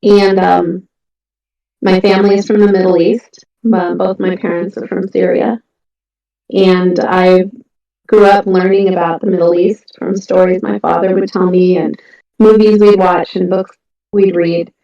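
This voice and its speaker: A woman's voice: lowish voice, level tone, a little slow, gravelly, warm tone. A middle-aged woman, an indie author, talking casually and earnestly about her writing, with frequent ums and restarts. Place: home, on a voice chat. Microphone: computer mic on a voice call. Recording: echoey, distant, muffled voice-chat audio.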